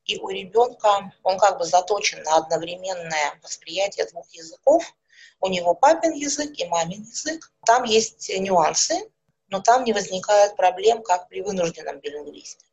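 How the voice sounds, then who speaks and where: A middle-aged woman, a speech therapist, calmly explaining things with everyday examples in an interview. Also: medium pitch, moderate pace, slightly rough. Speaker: speech therapist and psychologist guest.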